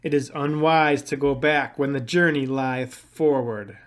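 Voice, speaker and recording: A woman's voice: a bass voice, unhurried, pitched below the performer's own voice. A woman voicing a pompous, hearty male knight in a storybook, declaiming in archaic style. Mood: grand.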